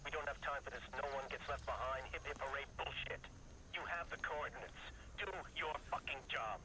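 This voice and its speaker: An actor playing a gruff, impatient military commander, barking curt, profane orders over a radio. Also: male voice, mid-range voice, brisk, heavy background noise. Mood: impatient, angry.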